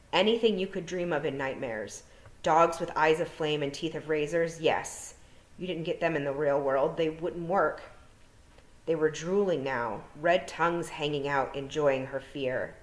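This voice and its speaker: A woman narrating a children's fantasy novel as a storytime reader. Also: low-pitched. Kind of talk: storybook narration read aloud. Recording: roomy echo.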